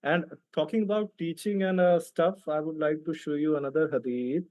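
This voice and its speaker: Man, Indian accent, non-native English, slightly high voice, combative debater, rhetorical questions, dry close sound. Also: steady tone, normal speed, a little mellow, no echo. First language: non-native English speaker. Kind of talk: argumentative debate, reading out texts.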